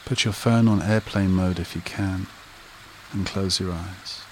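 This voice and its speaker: A man leading a guided meditation and hypnosis in a soothing, repetitive manner with short phrases and long pauses. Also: low voice, a little hiss or hum, low-quality recording. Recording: rain and running water behind voice. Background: steady rain and stream sounds.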